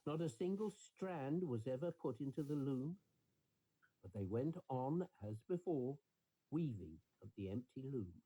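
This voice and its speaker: A man's voice: mid-range voice, expressive, a little slow, warm tone, slightly rough, rough recording. A man narrating a scripted bedtime story for children, as a storyteller.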